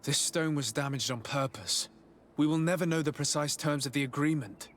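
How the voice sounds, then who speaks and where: Man acting a calm envoy, lighter-pitched. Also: moderate pace, slight background noise, close to an everyday voice. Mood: calm, inquiring. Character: calm, diplomatic male adventuring envoy. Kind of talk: video game cutscene acting.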